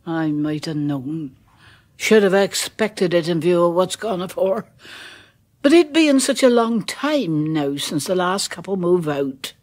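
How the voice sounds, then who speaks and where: An old village gossip. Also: female voice, lowish voice, unhurried. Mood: ominous. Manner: rustic dialect, hushed and uneasy, dropping to whispers. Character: older village woman, a nervous, superstitious local. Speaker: village neighbour. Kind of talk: radio drama acting.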